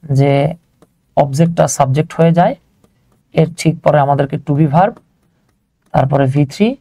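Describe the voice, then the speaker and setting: Mellow higher-voiced Bangladeshi male teacher. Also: moderate pace, creaky, no room sound. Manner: conversational, checks on learners with rhetorical questions. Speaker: English grammar teacher. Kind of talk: informal grammar lesson, explaining step by step.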